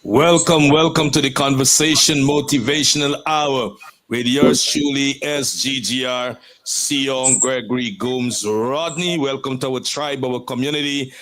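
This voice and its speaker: A man's voice: mid-range voice, unhurried, a crowd in the background, poor audio. A man hosting a podcast, giving an upbeat introduction in an emphatic way with repetitive lists. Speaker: podcast host.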